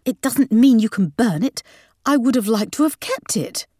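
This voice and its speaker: Lady doing an outraged mum, shrill n dramatic, scolding, really animated, dry audiobook sound. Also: normal speed, breathy edge, no echo. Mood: indignant. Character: outraged, shrill middle-aged English mother of the bride.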